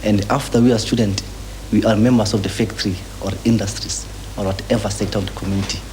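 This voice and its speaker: A young South African man speaks as a student activist in an interview, earnest and halting, often repeating his phrases. His voice is on the low side and very mellow. Faint noise from an old 1980s newsreel sits on the recording. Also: fairly even intonation. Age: young adult. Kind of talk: documentary interview. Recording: noisy 1980s newsreel location sound.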